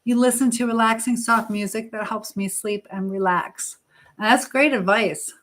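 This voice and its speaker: A woman's voice: a little high, steady tone, normal speed, fairly bright tone. Motherly woman chatting on a live stream. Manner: motherly, advising. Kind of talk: casual live chat, reading viewers' messages aloud.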